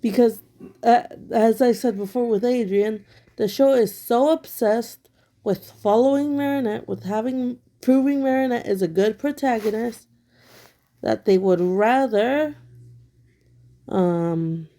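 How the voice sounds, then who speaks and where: Slow-talking woman with a sore throat. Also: fairly high pitch, dark tone. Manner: blunt and critical, with many fillers. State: a little sick, throat bothering her. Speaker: online commentator. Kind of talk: casual opinion commentary. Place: at home.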